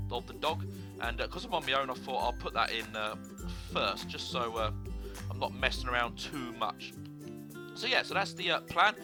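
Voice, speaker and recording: A young man with a sore throat and a slightly hoarse voice, chatting casually as he narrates a building game, rambling with fillers and restarts. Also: on the high side. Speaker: gaming video host. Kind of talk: casual gameplay commentary.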